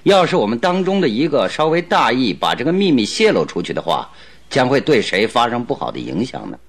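Husky, courteous detective, lively intonation. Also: male voice, mid-range voice. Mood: calm, firm. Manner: calm, polite, deliberate. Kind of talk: Mandarin radio drama acting.

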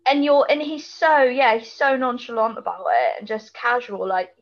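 British female podcast co-host, higher voice, colloquial and opinionated, casual recap style, slightly dull lo-fi sound. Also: fairly even intonation, normal speed, vocal fry. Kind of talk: casual chat, TV episode recap.

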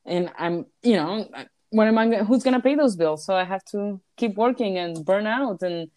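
A woman's voice: mid-range voice, moderate pace, a little mellow. Venezuelan woman in rambling casual chat. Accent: Venezuelan Spanish. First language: Spanish. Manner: rambling, self-mocking, frequent restarts. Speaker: podcast co-host.

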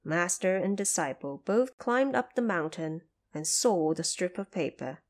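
A woman's voice: on the low side, lively intonation, measured pace. Woman narrating a classic fantasy tale. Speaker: storyteller. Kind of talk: scripted audio drama narration of a classic tale.

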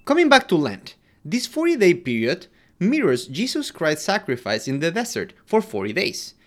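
A man from Ecuador co-hosting a podcast, reading a scripted history segment in an expressive way. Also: moderate pace. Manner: animated, expressive delivery with wide pitch swings. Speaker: podcast co-host.

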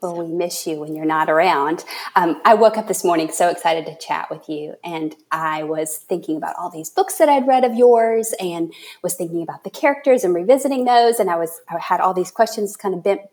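A woman's voice: fairly low pitch, brisk, a little mellow. A woman from South Carolina hosting a chatty podcast interview from a studio, with an effusive, enthusiastic way of talking. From Charleston area, South Carolina. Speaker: podcast host.

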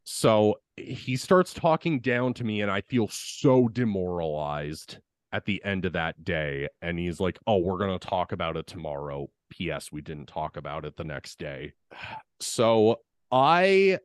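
A young man with a deep voice, casually telling a work story on a podcast and swearing as he vents. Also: big pitch swings, measured pace, dry recording, clean studio sound. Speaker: podcast co-host.

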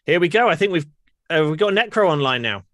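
A man hosting a chatty podcast, relaxed and full of uhs while he runs the call-ins. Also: a little high, fast, no echo, clean studio sound. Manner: relaxed, says uh and um a lot while running the stream. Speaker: podcast host taking calls. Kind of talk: casual chat.

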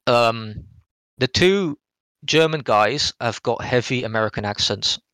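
A man co-hosting a podcast, casually reviewing a game in a halting, rambling way with frequent hedging and rephrasing. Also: mid-range voice, a bit bright, smooth, no echo. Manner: hesitant, halting delivery, often hedging and rephrasing.

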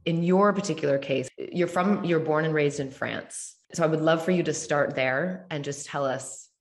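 Female podcast host, low voice, peppy and casual. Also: moderate pace. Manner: upbeat, laughs often, casual swearing. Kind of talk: podcast interview.

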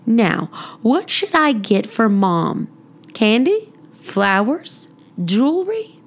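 A woman's voice: a little high, sing-song, slow, full character voice. A woman voicing a playful, patient father in a storybook, wry as he explains things. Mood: playfully explaining.

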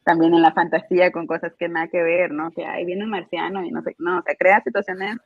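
A woman's voice: fairly low pitch, little pitch movement, fairly quick, vocal fry, slightly rough, no room sound. A woman guest in an interview explaining her course design work, hesitant, with many fillers and restarts. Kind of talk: casual interview answers. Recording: dull lo-fi dry sound.

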